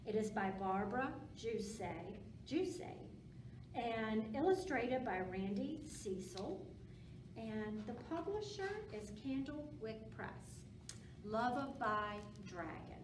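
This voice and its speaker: Slow, high-voiced woman at children's storytime. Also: big pitch swings. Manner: simple, encouraging, addressing young children. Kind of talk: children's storytime presenting and read-aloud. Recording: roomy echo, distant mic, background noise.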